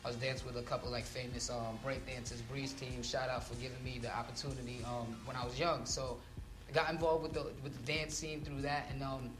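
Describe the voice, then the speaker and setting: A man's voice: medium pitch, level tone, fairly quick, roomy sound, over music. A man who grew up in Trinidad, chatting casually as a guest artist in an interview and filling his talk with "you know" and "um". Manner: laid-back, with many fillers.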